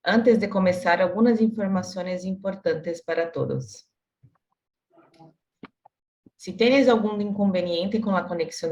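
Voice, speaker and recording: A woman with as her moderates a video call in careful, slightly halting learner's Spanish. Her voice sits somewhat low, and the call audio is dull and lo-fi. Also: a little mellow. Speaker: student moderator. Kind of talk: reading announcements on a video call.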